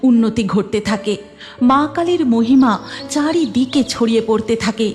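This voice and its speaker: A woman's voice: on the high side, moderate pace, music in the background. A woman reading scripted narration for a devotional cartoon story.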